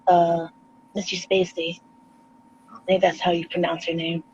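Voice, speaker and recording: A woman with a New York accent, a guest artist on a casual video call chat, relaxed and quick to laugh, with rapid asides. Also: fairly low pitch, highs cut off, a little hiss or hum.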